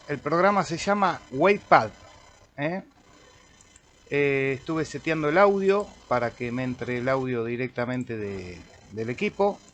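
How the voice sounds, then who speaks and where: A man with an Argentine accent walks through a piece of software in an unscripted way. His voice sits at a medium male pitch, and he thinks aloud as he tries things out. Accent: Argentine Spanish. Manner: informal, thinking aloud while clicking through. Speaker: amateur radio operator demonstrating software. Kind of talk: casual screen-recorded software tutorial.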